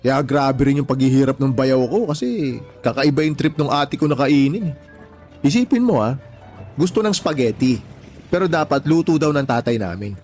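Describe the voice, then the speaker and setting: Man playing easygoing, reassuring buddy, mid-pitched. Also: expressive, normal speed, a little mellow, faint music behind, lightly acted. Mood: chatty storytelling. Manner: chatty, colloquial, reassuring. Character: easygoing married father, soothing, advising his buddy. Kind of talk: Tagalog radio drama acting.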